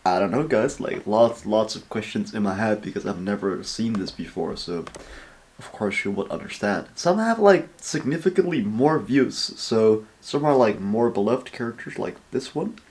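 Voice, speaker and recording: A man's voice: lowish voice, animated, normal speed, darkish tone. A man hosting a reaction video, casual, blunt and chatty, with some swearing. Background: game music.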